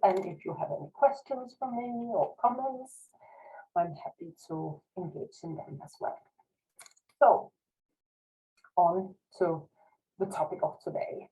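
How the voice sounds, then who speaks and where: Danish lady researcher on a video call, lowish mellow voice, restarts a lot. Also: lively intonation, unhurried, long pauses, poor audio. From Denmark. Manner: modest, careful speech with frequent restarts. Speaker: university researcher giving a guest lecture. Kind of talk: online presentation.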